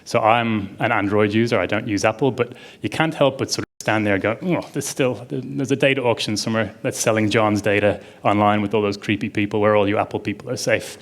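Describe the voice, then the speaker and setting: A man speaks on a conference stage to a live audience in a fairly low voice. He talks in a chatty, enthusiastic way, often reaching for "like" as he goes. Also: fairly even intonation, brisk, few pauses. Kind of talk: casual conference talk.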